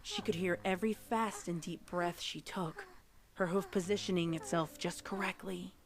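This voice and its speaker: A woman's voice: on the low side, normal speed. A woman reading scripted dramatic fiction as a story narrator. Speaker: audiobook-style story narrator. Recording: music playing under the narration. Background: music.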